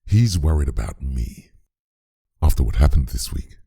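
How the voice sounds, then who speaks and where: Gentle parish priest, extremely low voice, husky, earnest, audio drama. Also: male voice, lively intonation, normal speed, full character voice. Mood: weary, concerned. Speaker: voice actor.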